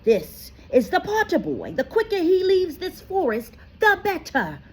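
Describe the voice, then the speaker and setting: A woman's voice: extremely high pitch, huge pitch swings, unhurried, voice pushed up, heavily acted. A woman reading aloud voices a noble male centaur who speaks solemnly and earnestly. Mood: urgent.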